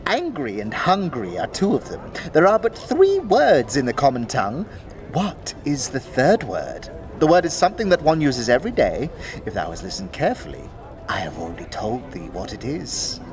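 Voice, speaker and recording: An actor playing a mocking, excitable male skeleton in a fantasy audio drama, cackling and stammering in archaic thee-and-thou speech. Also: medium pitch, normal speed. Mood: sly, teasing.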